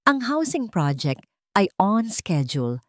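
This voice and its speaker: A woman voicing a young female company chairperson who speaks softly and politely. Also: medium pitch, very animated, measured pace, dry recording. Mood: tender.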